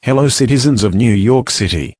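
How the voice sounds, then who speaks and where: A man's voice: mid-range voice, a little fast, darkish tone, husky, no room sound, lightly acted. A man playing a courteous head of security who reads an official bulletin in a formal, orderly way.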